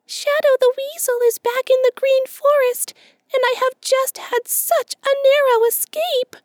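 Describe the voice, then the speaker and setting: A woman's voice: very high voice, fairly even intonation, a little slow, no echo, pitched above the performer's own voice. A woman voicing a boastful, jittery young male red squirrel who speaks in a hesitating, stammering way. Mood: nervous. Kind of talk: storybook character voice.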